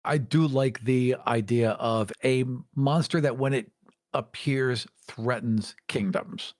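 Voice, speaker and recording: A man's voice: mid-range voice, takes it slowly, dry recording, studio quality. Dryly joking man in casual discussion. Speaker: podcast co-host.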